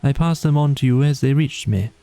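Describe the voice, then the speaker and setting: A man's voice: mid-range voice, fairly quick, dark tone, dry recording, pitched above the performer's own voice, natural voice. A man voicing a dreamy, languid, poetic male water rat in a storybook, with a murmuring, rapt manner. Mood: simple, calm.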